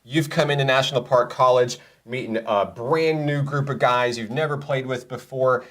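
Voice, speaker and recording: A man's voice: mid-range voice, moderate pace, a bit bright. A man hosting a college sports broadcast, interviewing a player with a polished announcer delivery.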